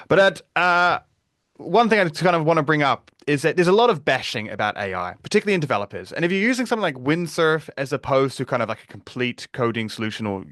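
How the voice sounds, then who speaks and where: A man with a New Zealand accent presenting a live webinar in a relaxed, conversational way, with frequent fillers and restarts. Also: medium pitch, dry recording, studio quality. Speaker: webinar presenter, security advocate.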